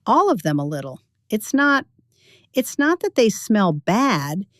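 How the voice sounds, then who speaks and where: Lady doing a polite but disapproving daughter-in-law, careful hesitant delivery, audio story, lively pitch, dry sound. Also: measured pace, no echo. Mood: politely critical.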